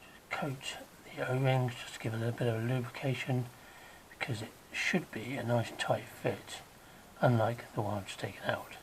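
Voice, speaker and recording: Croaky guy doing a diy car fix in his garage, chatty, mutters to himself while working, bit of faint noise behind. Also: mid-range voice, fairly even intonation, normal speed, poor audio. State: croaky, recovering from illness. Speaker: DIY car repair vlogger. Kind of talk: casual hands-on how-to tutorial.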